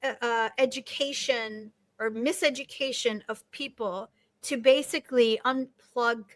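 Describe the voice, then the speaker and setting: Forceful high-voiced woman guest. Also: fairly even intonation, a little slow, dry recording. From Palestine. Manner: forceful, emphatic, repeats words for emphasis. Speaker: guest, novelist and activist. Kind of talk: impassioned interview talk.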